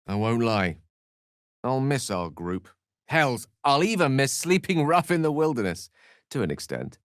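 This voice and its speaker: An actor with a British accent voicing a learned, proud, romantic wizard in a video game, with eloquent, slightly pompous phrasing. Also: male voice, mid-range voice, sing-song, unhurried, dry recording, studio quality. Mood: warm, wry. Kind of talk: video game character voice lines.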